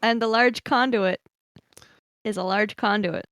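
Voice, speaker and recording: A woman chatting casually and jokingly as a player in a tabletop game podcast, with animated, bantering delivery. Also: mid-range voice, a little slow, no echo, clean studio sound.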